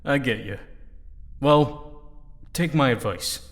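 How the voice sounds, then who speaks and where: Guy acting as a young male superhero, torn up and halting, earnest, close dry sound, audio drama. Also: medium pitch, dry recording. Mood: confiding. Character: conflicted grieving young male superhero team leader.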